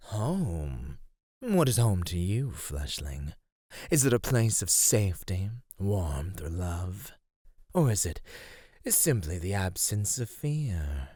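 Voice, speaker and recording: A man playing an ancient underground monster in an ASMR roleplay, speaking in a measured, menacing, teasing way with formal wording. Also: low-pitched, a little mellow, no echo, clean studio sound, full character voice. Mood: curious, predatory. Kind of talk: ASMR roleplay, slow intimate scripted acting.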